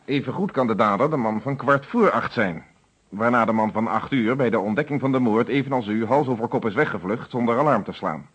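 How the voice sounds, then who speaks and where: A man's voice: mid-range voice, slightly rough, natural voice. An actor playing a stern, methodical police inspector in a radio play, speaking formally and insistently as he interrogates.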